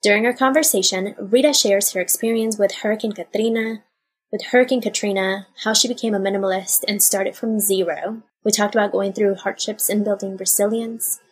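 Husky-voiced woman hosting a podcast. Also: mid-range voice, fairly quick, low-quality recording. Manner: upbeat, even reading with Spanish phrases mixed in. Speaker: podcast host. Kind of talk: scripted episode introduction.

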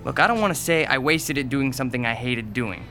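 A man's voice: mid-range voice, expressive, brisk, over music, close to an everyday voice. A man playing an eager, defensive young would-be comedian in his twenties, joking glibly in an audio drama. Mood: earnest. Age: 20s. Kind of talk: naturalistic audio drama dialogue.

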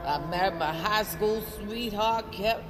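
A woman's voice: mid-range voice, unhurried, close to an everyday voice. An actress playing a needy, tipsy, possessive mother in an audio drama, clingy, wheedling and rambling. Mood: bitter self-pity. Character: needy, tipsy, possessive mother, bitter about her husband. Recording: music behind the voice.

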